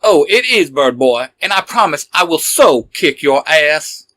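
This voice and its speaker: A man's voice: on the high side, sing-song, measured pace, sharp tone, full character voice. A man voicing a cocky, combative male superhero trading taunts with a rival in an audio story. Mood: taunting.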